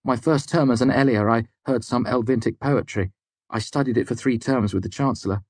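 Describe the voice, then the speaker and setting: A man's voice: medium pitch, a little fast, a little mellow, breathy edge, dry recording, voice pushed up, natural voice. A man voicing an earnest, gloomy and bookish young male student in an audiobook story. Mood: absorbed.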